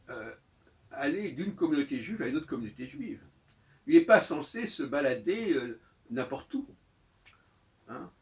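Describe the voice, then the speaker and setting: A man gives an unscripted expository talk in a halting, didactic manner, often rephrasing and repeating key words. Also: medium pitch. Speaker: speaker giving an opinion talk. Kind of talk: extemporaneous expository monologue. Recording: voice distant from the microphone.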